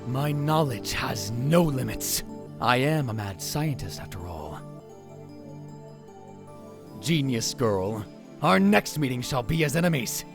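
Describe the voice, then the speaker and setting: A man's voice: mid-range voice, sing-song, takes it slowly, gravelly, pauses often, music in the background, exaggerated voice. A man playing a paranoid, grandiose young mad scientist in a visual novel fan dub, with a pompous, melodramatic delivery. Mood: grandiose defiance. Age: young adult. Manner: pompous, melodramatic, swinging from hushed muttering to outbursts.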